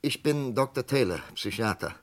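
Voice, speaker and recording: A man acting as an insistent, indignant psychiatrist in a German radio crime drama, speaking emphatically with pointed pauses. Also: medium pitch, lively intonation, natural voice. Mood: urgent. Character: insistent, indignant male psychiatrist fighting to postpone an execution.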